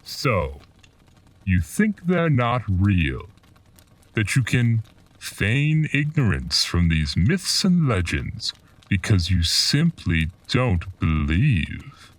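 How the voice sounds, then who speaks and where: Very deep, ominous storyteller. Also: male voice, very animated, recorded from a distance, exaggerated voice. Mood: taunting, menacing. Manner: portentous, deliberate, taunting. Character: mysterious, ominous male storyteller collecting dark legends. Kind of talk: slow theatrical horror radio drama narration.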